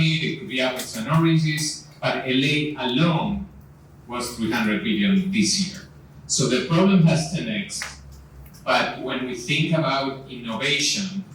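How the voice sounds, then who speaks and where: A man moderating a live panel discussion, pausing often with fillers and restarts. He sounds distant from the microphone, and his voice rings around a very echoey room. A faint hiss sits under him. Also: mid-range voice, a bit bright. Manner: hesitant, many fillers and restarts. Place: event venue. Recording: echoey room, far from mic, faint noise.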